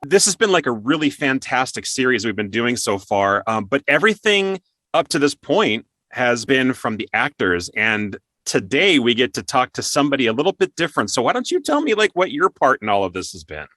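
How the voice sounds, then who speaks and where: A man's voice: medium pitch, sing-song, fairly quick, bright, forceful tone, no room sound. A man hosting an interview show, speaking in an upbeat, courteous, announcer-like way. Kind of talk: scripted show intro and interview.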